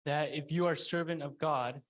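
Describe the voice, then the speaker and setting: A man's voice: a little high, fairly even intonation, normal speed. A man in a class who repeats the lecture's phrases and says when he missed one.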